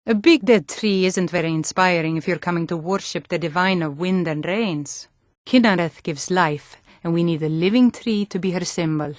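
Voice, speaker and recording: An actress playing a hardy Nordic woman of a fantasy realm in a video game, speaking in a firm, earnest, deliberate way. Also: lowish voice, no room sound, studio quality, close to an everyday voice. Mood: solemn, reverent. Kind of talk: scripted fantasy video game character dialogue.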